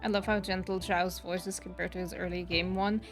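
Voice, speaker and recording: A woman's voice: lowish voice, level tone, a little fast. A woman streamer giving casual, enthusiastic reaction commentary as she plays a game. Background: game music.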